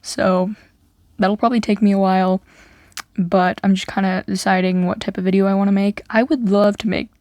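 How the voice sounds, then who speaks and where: A woman's voice: medium pitch, flat delivery, normal speed, a little mellow, no room sound. A woman giving an unscripted, rambling voiceover for her channel's game speed build, full of "like" fillers and restarts. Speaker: YouTuber.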